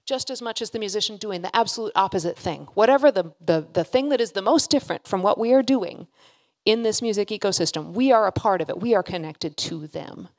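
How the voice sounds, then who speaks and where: A woman in her thirties giving an informal keynote speech on a handheld mic, earnest and emphatic, repeating phrases for emphasis. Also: lowish voice. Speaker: keynote speaker. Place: hall with seated audience. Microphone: handheld microphone held close at a podium.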